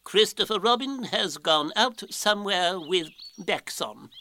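Slow, pompous old-world storybook owl. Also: male voice, high-pitched, animated, pushed and bright, voice pushed up, heavily acted. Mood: self-important. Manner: hesitant, drawn-out, ponderous delivery with ums and hums. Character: wise-seeming, pompous, bluffing owl, slow and thoughtful. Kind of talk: children's storybook character reading.

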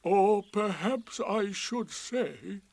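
A man's voice: high-pitched, very slow, gravelly, darkish tone, pitched above the performer's own voice, exaggerated voice. A man voicing a gloomy, sarcastic, aggrieved donkey in a storybook, with ponderous, emphatic delivery.

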